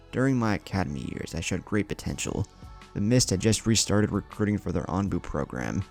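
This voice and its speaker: A man's voice: low-pitched, creaky, darkish tone, exaggerated voice. A man voicing a stern, pragmatic young female ninja in a clipped, clinical manner for a story reading.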